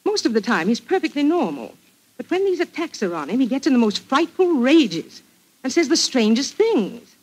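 A woman's voice: high-pitched, very animated, moderate pace, a little mellow, heavily acted, poor audio. An actress playing a clever, self-assured, forthright Englishwoman with a British accent in a 1940s radio drama. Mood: grave, urgent. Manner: crisp, forthright.

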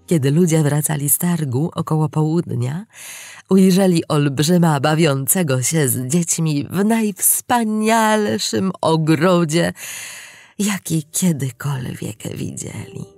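A woman narrating a scripted tale dramatically, deliberate and expressive, with pauses and hushed phrase endings. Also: low-pitched, no echo, clean studio sound, soft background music. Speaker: storybook narrator.

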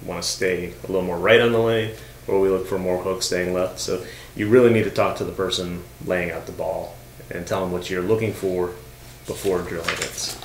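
Young man, co-host, low pitch, leads the talk, echoey studio, faint hum. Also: fairly even intonation, fairly quick, recorded from a distance. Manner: steers the talk, reads questions and sponsor copy. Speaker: bowling show co-host reading viewer questions. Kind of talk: casual studio chat. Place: studio desk with bowling lane backdrop.

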